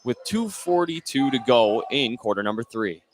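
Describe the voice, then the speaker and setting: An American man works as a play-by-play announcer on live basketball radio, with a mid-pitched voice. He follows the action in short running phrases, over faint gym noise and ball bounces. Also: expressive, moderate pace. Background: basketball game in a gym.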